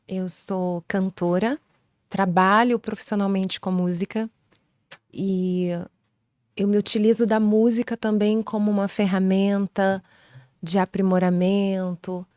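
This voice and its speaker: Woman from rio, carioca accent, slow and soft, poetic, dry sound. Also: medium pitch, no echo. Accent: Rio de Janeiro Brazilian Portuguese. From Rio de Janeiro, Brazil. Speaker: singer and guest. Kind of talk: leading a prayer, then interview answers.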